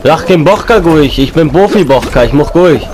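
A young man with a slight cold, chatting casually as he plays and comments on a console game, repeating and rhyming his lines and breaking into excited outbursts. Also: fairly high pitch, normal speed, over music, low-quality recording. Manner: excited outbursts while playing. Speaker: gaming YouTuber.

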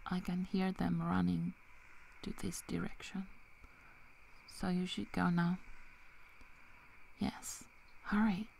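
Woman playing a slow, creaky whispering werewolf girl. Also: fairly low pitch, level tone, slight background noise, heavily acted. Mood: reluctant, resigned. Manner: soft, halting, breaking into whispers. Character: loyal, protective young female werewolf. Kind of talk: whispered ASMR roleplay.

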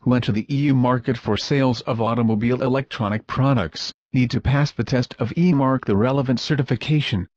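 A man's voice: medium pitch, brisk, hardly any pauses, a little mellow, dry recording. Man reading a stiff factory promo. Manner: stiff, reads awkward non-native English text. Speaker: corporate video narrator. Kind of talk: scripted promotional voice-over. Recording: dull, lo-fi, dry recording.

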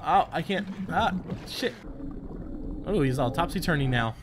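A man giving casual, profane and excitable game commentary, talking straight to the character he is playing. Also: on the high side, unhurried, over music. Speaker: gaming video host. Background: game audio of boat and water.

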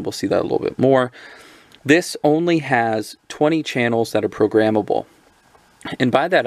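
Low-voiced man with gravelly fry, casually explaining. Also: no echo. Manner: explanatory, informal. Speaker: product reviewer. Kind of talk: casual unscripted gadget overview.

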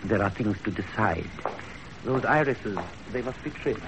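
An actor playing a stern, courteous old Japanese father in a 1930s radio drama, speaking in measured, formal phrases. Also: fairly low pitch, noisy background. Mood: formal, kindly.

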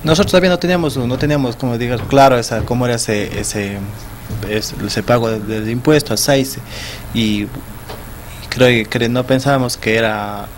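A young man with an Ecuadorian Spanish accent answering questions in a small TV studio, talking haltingly with many restarts and repeated words. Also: mid-range voice, fairly even intonation, slight background noise. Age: young adult. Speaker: festival sponsor guest. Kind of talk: studio interview. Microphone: desk mic on stand.

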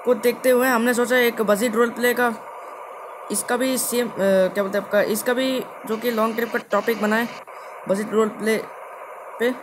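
Young male gaming host, extremely high pitch, halting and repetitive casual commentary, slightly noisy recording. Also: steady tone. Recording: clearly noisy game capture.

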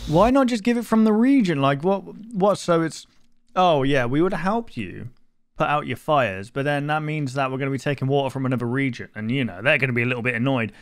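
A man's voice: medium pitch, lively intonation, brisk, smooth, dry recording. A man in his twenties giving casual reaction commentary and reading captions aloud into a close desk microphone. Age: 20s. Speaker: reaction video host. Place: small home room, indoors. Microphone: desk microphone close to mouth, headset worn.

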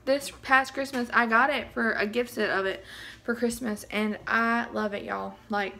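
A woman's voice: fairly high pitch, steady tone, moderate pace, vocal fry, sharp tone. Chatty woman, slightly scratchy. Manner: chatty, nervous, keeps saying y'all. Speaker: vlogger. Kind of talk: casual product review vlog. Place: small bedroom indoors. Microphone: phone camera close up.

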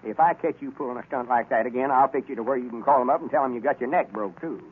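An actor playing a self-important, scolding country storekeeper with a rural Southern US drawl in a radio comedy. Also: male voice, mid-range voice, fast, slightly rough, natural voice. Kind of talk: 1930s radio comedy acting. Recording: tinny old radio recording.

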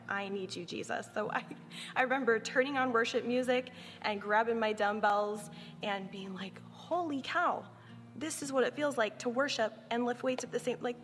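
Earnest young woman, reverberant hall. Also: mid-range voice. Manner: earnest, grows lively when recalling joyful moments. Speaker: church member sharing testimony. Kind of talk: reflective personal testimony. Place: large bright indoor hall, seated interview. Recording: reverberant hall with soft music behind.

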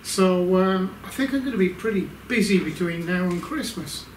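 An older man giving a casual update from his home workshop, talking slowly with lots of ums and restarts while holding the camera. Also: sits high, animated, slight background noise, rough recording. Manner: slow, rambling, many fillers. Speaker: workshop video maker.

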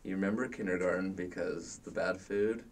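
A man's voice: low voice, brisk, a little hiss or hum. A man interviewing a guest about his childhood, asking questions with friendly, encouraging prompts.

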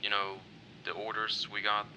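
Man acting sardonic soldier, lowish voice, dry, deadpan, bored and doubting, comic dub, clear background noise. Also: moderate pace, low-quality recording.

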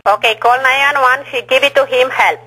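A woman with a foreign accent giving advice on the phone in halting, simple non-native English. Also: high voice, sharp tone, slightly rough, rough recording. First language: not English. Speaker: worker at a home for old people.

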